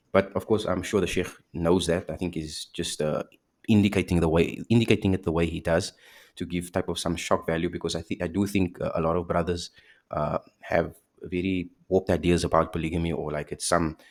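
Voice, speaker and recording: A man giving casual, reflective commentary, hesitant and full of fillers and restarts. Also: deep voice. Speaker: host commenting on clips.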